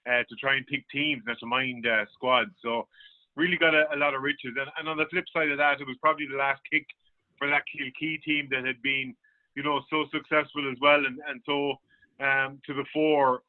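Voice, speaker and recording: A man's voice: medium pitch, level tone, normal speed. A man with a County Clare Irish accent, speaking conversationally and colloquially as a sports journalist in a radio discussion. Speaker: sports journalist, panel guest. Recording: phone-like, narrow-band sound.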